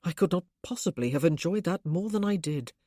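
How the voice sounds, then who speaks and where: A woman voicing a gentle, lonely newly married man who speaks tenderly and coaxingly. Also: fairly low pitch, lively intonation, fairly quick, dry recording, studio quality. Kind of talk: audiobook character voice.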